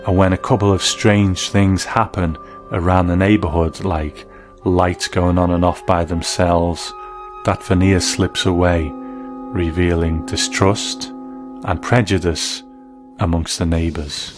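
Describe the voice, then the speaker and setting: Low-voiced male reviewer over faint music. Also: little pitch movement, measured pace. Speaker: presenter and reviewer. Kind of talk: scripted review commentary.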